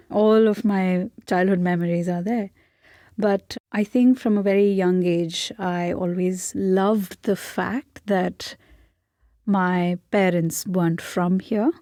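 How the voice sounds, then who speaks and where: Young Indian-accented woman, interview guest, reflective and searching, mellow tone, dry close sound. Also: mid-range voice, dry recording. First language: Hindi. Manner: thoughtful, searching, with frequent restarts. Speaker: podcast guest.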